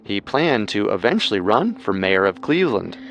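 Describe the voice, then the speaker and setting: A man hosting a podcast, delivering scripted true-crime narration in a measured, dramatic storytelling manner. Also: fairly low pitch, very animated, a bit bright, highs cut off.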